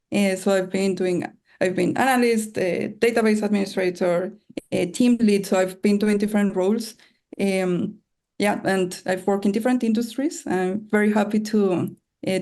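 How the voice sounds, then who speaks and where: A woman's voice: mid-range voice, dark tone. A middle-aged woman from Colombia, introducing herself as a guest on a webinar. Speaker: panel guest, data team lead. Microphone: video call.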